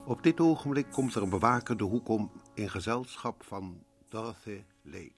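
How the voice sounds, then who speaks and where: A man narrating a radio drama from a script in a measured, suspenseful way. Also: lowish voice, lively intonation. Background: faint music.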